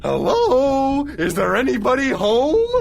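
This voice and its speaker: A man voicing a chatty, friendly robot in a video game, animated and familiar in manner. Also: very high voice, slow pace, creaky, faint music behind, heavily acted. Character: chatty friendly robot showing a newcomer around. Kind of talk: scripted video game cutscene acting.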